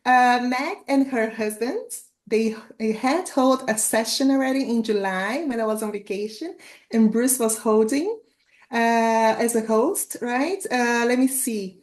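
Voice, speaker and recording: A woman's voice: a little high, unhurried, echoey room. A woman hosting an online interview, chatty and eager, often laughing between questions. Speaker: host.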